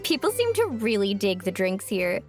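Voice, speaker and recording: A woman's voice: medium pitch, huge pitch swings, normal speed, a little mellow, soft background music. A woman voicing an easygoing, friendly young woman in a fantasy video game. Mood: musing.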